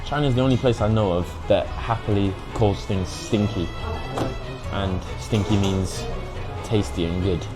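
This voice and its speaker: A young man with a British accent and a low voice talks in a casual food-vlog style. He is chatty and informal, slipping Mandarin phrases into his English, with clear music playing behind him. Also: normal speed. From England. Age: young adult. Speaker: food vlogger.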